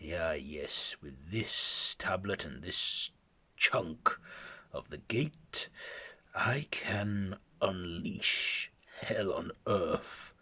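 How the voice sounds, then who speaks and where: A man's voice: low voice, very slow, sharp tone, slightly rough, full character voice. A man voicing a scheming, smug male villain who taunts his foe. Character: scheming male villain seeking hell on earth.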